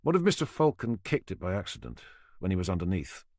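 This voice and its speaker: A man voicing a blunt, exasperated male detective in his own low voice. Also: wildly swooping pitch, quick pace, a little mellow, no room sound, clean studio sound, heavily acted.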